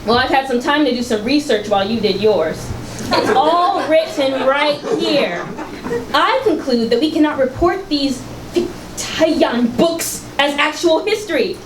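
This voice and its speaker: An actress in a staged reading, playing a prim, anxious researcher from a far-future utopia, speaking in precise, formal, didactic phrases. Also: female voice, sits high, measured pace. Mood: formal, instructive. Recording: echoey, noisy live room recording.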